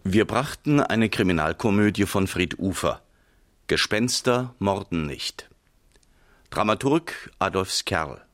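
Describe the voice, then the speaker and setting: A man's voice: low voice, animated, takes it slowly. A man reading scripted radio drama credits as the announcer.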